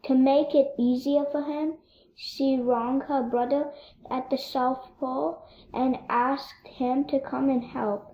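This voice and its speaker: A woman's voice: high voice, reverberant, dull audio, a little hiss or hum. A woman reading a children's storybook aloud as narrator. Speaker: storybook reader.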